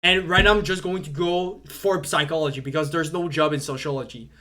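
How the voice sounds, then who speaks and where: Young man, high-pitched, French native speaker, casual streamer, rambling, lots of fillers and restarts. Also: fairly even intonation, brisk, pushed and bright. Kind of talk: casual live-stream chat.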